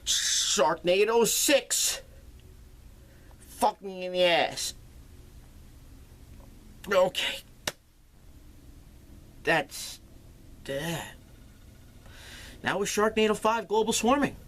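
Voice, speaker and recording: A man hosting his own comedic movie review show, retelling a plot with crude, animated energy and swearing. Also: sits high, very slow, long pauses. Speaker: film reviewer, show host.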